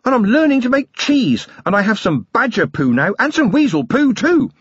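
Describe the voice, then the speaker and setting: A man voicing an excited young boy who chatters in a high, breathless way. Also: normal speed, husky, dry recording, lo-fi sound, heavily acted.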